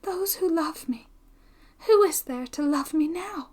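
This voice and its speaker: A woman reading a storybook voices an impassioned, gentle young woman with breathy, anxious, formal period speech. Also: very high-pitched, lively intonation, a little slow, pitched above the performer's own voice. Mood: anguished.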